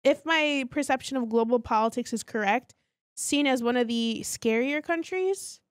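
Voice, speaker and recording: A woman's voice: high voice, moderate pace, clear, resonant tone, no room sound, studio quality. A woman co-hosting a podcast, chatting informally with frequent "like" and some swearing. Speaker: podcast co-host. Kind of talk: casual chat.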